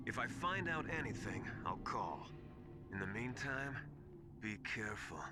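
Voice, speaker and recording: A man's voice: lowish voice, very animated, measured pace, loud music behind the voice. An actor playing an urgent, suspicious military adviser in a video game, insistent and dramatic as he explains. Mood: suspicious, grave.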